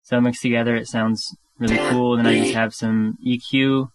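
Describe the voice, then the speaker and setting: A man presenting a casual music software tutorial as a producer. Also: mid-range voice, little pitch movement, normal speed, low-quality recording. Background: music and vocoder demo.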